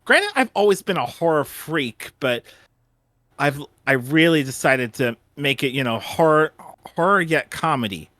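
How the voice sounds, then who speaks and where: A man's voice: on the high side, animated, measured pace, sharp tone, no room sound. A man hosting a casual chat, stammering and repeating words as he explains. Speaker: audio drama creator and host.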